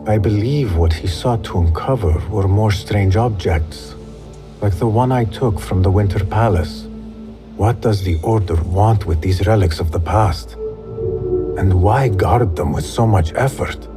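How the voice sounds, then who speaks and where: An actor playing a troubled young assassin, earnest and guarded, speaking with quiet intensity. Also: male voice, on the low side, unhurried, music in the background, lightly acted. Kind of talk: game cutscene acting. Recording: loud score under dialogue.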